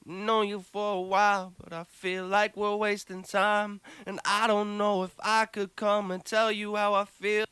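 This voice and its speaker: A man singing a slow R&B vocal through autotune, airy and crisp with the low end rolled off. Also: little pitch movement, bright, forceful tone, no room sound. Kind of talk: autotuned sung vocal demo.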